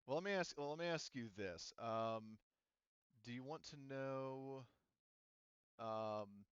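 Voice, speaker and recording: A man running a tabletop game, explaining and narrating in a halting, improvised way with asides. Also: medium pitch, smooth, dry recording. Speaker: game master. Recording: muffled, dull microphone sound.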